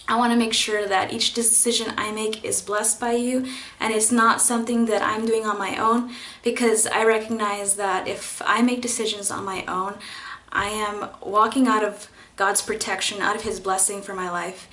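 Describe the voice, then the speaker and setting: A woman's voice: medium pitch, little pitch movement, normal speed, a bit bright, reverberant. A young woman, a college student, sharing her faith story in a personal vlog, speaking earnestly and reflectively with frequent fillers and restarts. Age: young adult.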